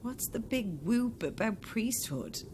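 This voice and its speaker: A woman playing a grim reporter in an audio horror drama, questioning in a measured, accusing way. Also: fairly high pitch, very animated, darkish tone, a little hiss or hum. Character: grimly probing female reporter confronting a killer.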